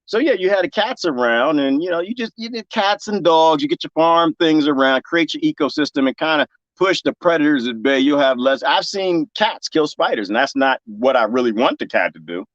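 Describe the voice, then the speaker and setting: A man's voice: fairly high pitch, fairly quick, no echo, highs cut off. A man with a Texas accent chatting casually with his viewers while gardening, joking and laughing often. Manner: animated and joking, laughs often, talks straight to his chat. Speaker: livestream host reading viewer comments. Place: garden, planting cuttings.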